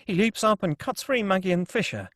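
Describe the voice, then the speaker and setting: A man's voice: high-pitched, sing-song, fairly quick, fairly bright tone, no echo, clean studio sound. A man reading scripted screenplay action and scene headings as the narrator of a table read. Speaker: narrator reading stage directions.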